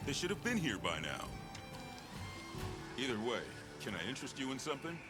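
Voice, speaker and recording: An actor playing a friendly, eager provisions merchant in a video game, talking in chummy, casual sales patter. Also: male voice, mid-range voice, sing-song, brisk, close to an everyday voice. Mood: chatty, mildly worried. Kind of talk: video game character dialogue. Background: loud game music.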